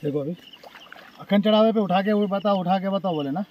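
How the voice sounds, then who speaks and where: A man, chatting casually and excitedly to viewers as an outdoor fishing vlogger. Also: high voice, brisk, highs cut off. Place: outdoors on a lake bank. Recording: outdoor phone recording, faint nature noise.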